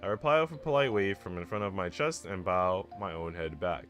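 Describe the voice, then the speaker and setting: A man with a fairly low voice, streaming a story game. He reads and talks in a casual way. Some music plays behind him. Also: fairly quick, fairly bright tone. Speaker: streamer reading a visual novel aloud. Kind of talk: casual read-aloud and game chat.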